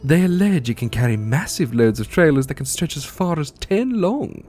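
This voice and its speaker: Informative male soldier, played by a man, animated intonation, matter-of-fact briefing for audio drama. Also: on the high side, normal speed, soft background music. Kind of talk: audio drama character acting.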